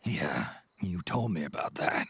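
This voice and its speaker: Old gruff game soldier, super husky growl, weary and sardonic, voice swings around. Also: male voice, extremely low pitch, sing-song, unhurried, a bit bright, no echo, exaggerated voice. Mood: sardonic, grudging. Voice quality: gravelly, raspy, breathy growl. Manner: terse, dry, sardonic. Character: gruff aging male soldier, weary and dry. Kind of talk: video game voice acting.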